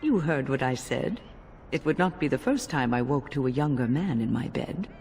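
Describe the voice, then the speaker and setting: A shrewd old female companion from a fantasy game, with a strongly creaky voice. She speaks with measured composure and dry, teasing wit. There is faint noise in the background. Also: a bass voice, lively intonation, a little mellow. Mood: dryly teasing. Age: older.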